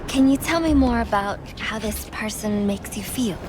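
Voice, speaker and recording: A woman playing a gentle, earnest young psychiatrist in a video game, measured and probing. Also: medium pitch, natural voice. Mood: shy, smitten. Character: young female psychiatrist, earnest, gentle, falling under spell. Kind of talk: soft-spoken video game voice acting. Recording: music under the dialogue. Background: loud dramatic music.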